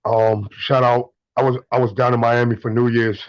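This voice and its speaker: A man's voice: mid-range voice, flat delivery, normal speed, no room sound, highs cut off. A man in his twenties calling in to a show, telling blunt stories, swearing and repeating himself. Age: 20s. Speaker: caller. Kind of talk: casual call-in story.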